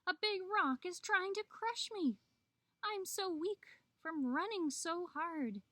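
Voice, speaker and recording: A storybook trickster coyote, played by a woman, pitched extremely high. He is panicky and stubborn, pleading and yelping in a loud, urgent way, recorded close and dry. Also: lively intonation, slow, no echo, full character voice. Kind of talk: children's storybook telling.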